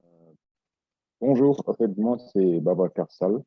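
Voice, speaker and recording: A man's voice: on the low side, lively intonation, a little slow, husky, dry recording. A man introducing himself in an online training meeting, hesitant with many fillers, heard over a video call. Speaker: participant. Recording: dull dry video-call audio.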